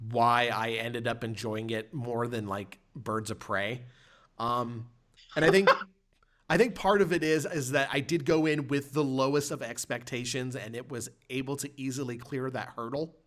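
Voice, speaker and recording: Man chatting casually about film, halting delivery. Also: mid-range voice, steady tone, a bit bright. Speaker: podcast host. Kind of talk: casual film review chat.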